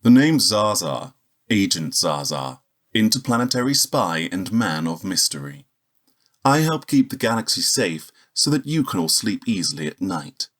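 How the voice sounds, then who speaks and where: Low-voiced, wry space spy. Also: male voice, normal speed, exaggerated voice. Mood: wry, self-assured. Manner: wry, deadpan. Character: cocky, weary male interplanetary spy, sardonic loner. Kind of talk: noir-style narration and dialogue in sci-fi audio drama.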